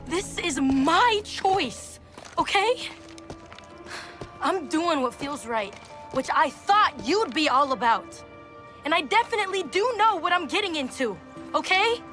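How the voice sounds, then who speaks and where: A woman's voice: extremely high pitch, lively intonation, a little slow, a bit bright, soft background music, exaggerated voice. A woman playing a sassy, defiant eighteen-year-old daughter in a video game, talking in casual, sarcastic slang. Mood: exasperated. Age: young adult. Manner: sarcastic, exasperated, teenage slangy delivery.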